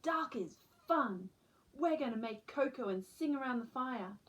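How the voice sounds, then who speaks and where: A friendly little boy in a storybook acted by a woman reader. His voice is high and animated in pitch. He is excited and stresses his words with enthusiasm. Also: a little slow. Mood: enthusiastic. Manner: enthusiastic, emphatic.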